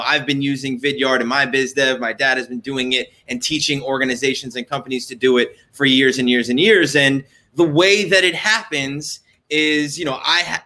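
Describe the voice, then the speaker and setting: Energetic bright-voiced male host. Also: on the high side. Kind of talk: casual interview chat.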